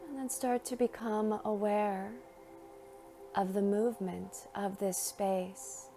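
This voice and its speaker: Slow, mellow woman guiding a meditation. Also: mid-range voice, steady tone, soft background music. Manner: soothing, gentle, unhurried with long pauses. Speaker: guided meditation narrator. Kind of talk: guided meditation, slow scripted narration.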